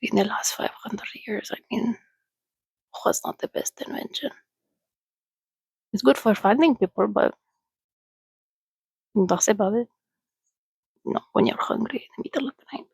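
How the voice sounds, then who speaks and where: A woman voicing a soothing, centuries-old, well-mannered vampire in a soft-spoken ASMR roleplay, chatting gently and often dropping to a whisper. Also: on the high side, gravelly, husky, no echo, full character voice, poor audio. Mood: chatty, welcoming. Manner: unhurried, gentle, chatty, reassuring.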